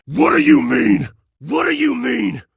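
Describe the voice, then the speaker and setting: An actor playing a grim masked vigilante in a video game, terse and intimidating with a gravelly, husky voice. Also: male voice, very high-pitched, big pitch swings, unhurried, no room sound, clean studio sound, exaggerated voice. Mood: demanding. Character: grim masked male vigilante, menacing and controlled.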